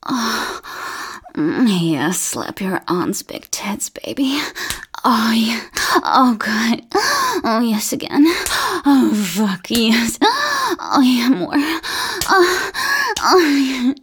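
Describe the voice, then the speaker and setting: Woman, intimate roleplay, breathy teasing whisper, very slow and lively in pitch. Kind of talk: whispered adult audio roleplay.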